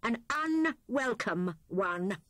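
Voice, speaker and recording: A woman voicing a kindly male mole in a storybook, cheery and knowing, pitched higher than her narration. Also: expressive, drawn-out words, fairly bright tone, no echo, clean studio sound, full character voice. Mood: sly.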